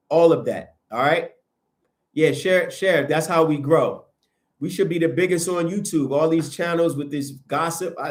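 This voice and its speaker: A man hosting a livestream, preaching in a casual, animated way and answering viewer comments as he goes, with a sniffly nose. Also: a little high. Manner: animated, preachy, rises sharply when emphatic. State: sniffling from sinuses. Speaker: livestream host.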